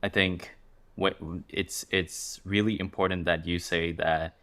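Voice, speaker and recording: A man with a very deep voice hosts a conversation. He speaks in a measured, thoughtful way. He often repeats words as he shapes his questions. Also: flat delivery, fairly bright tone. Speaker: podcast host. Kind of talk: interview conversation.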